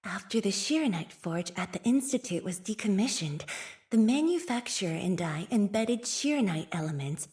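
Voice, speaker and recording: A young woman playing a composed, earnest scientist and leader in a video game, with a soft, slightly breathy voice and measured, formal, thoughtful phrasing. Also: medium pitch, natural voice. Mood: lightly playful. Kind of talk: video game character voice lines.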